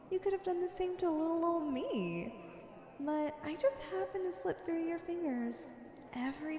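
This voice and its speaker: Woman acting a flirty high-voiced supervillainess in echoing reverb. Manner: coy, playful, teasing, sweet-talking. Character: flirty, teasing, confident female supervillain seeking an ally. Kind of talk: ASMR roleplay, acted monologue to the listener. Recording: echoey with long reverb.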